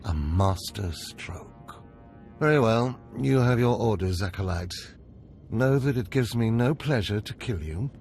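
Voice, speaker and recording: A man playing a dignified, grave overseer in a video game, with formal, measured phrasing. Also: fairly low pitch, animated, a little mellow, faint music behind. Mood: grave resignation. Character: grave, dignified Sith overseer, a resigned male mentor. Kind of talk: video game cutscene acting.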